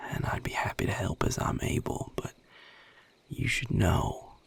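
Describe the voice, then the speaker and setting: A world-weary, level-headed male vampire with a Southern US drawl, acted by a man. His voice is heavily fried and very husky, with pitch that swings widely beneath the hush. He talks in measured, protective, plain-spoken phrases, as intimate audio drama addressed straight to the listener. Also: full character voice. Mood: guarded, reluctant. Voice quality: breathy, gravelly low drawl. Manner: measured, hushed, plain-spoken with deliberate pauses.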